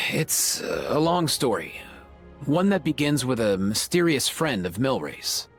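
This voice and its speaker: A man voicing an earnest, energetic young ringleader in an anime-style video game, casual and expressive. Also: medium pitch, takes it slowly, faint music behind. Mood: serious.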